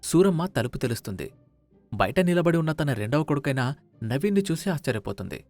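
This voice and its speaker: A man's voice: on the high side, big pitch swings, brisk, darkish tone, no room sound, soft background music. A man narrating a scripted moral story as its storyteller.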